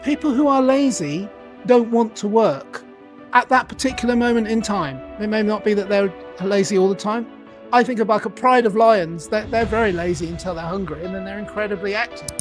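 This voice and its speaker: A middle-aged man from England with a British accent, a coach telling his story as a guest in a conversational interview, animated and playing out his own quoted thoughts. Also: high-pitched, fairly quick, soft background music. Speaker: guest, accountability coach and author.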